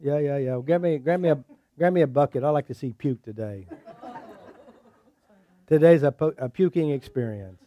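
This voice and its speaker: A man's voice: fairly high pitch, moderate pace, long pauses, lo-fi sound. A middle-aged man leading a seminar, coaching a volunteer in front of a group. He jokes, repeats words and gives direct instructions. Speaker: seminar leader. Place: room at a live seminar.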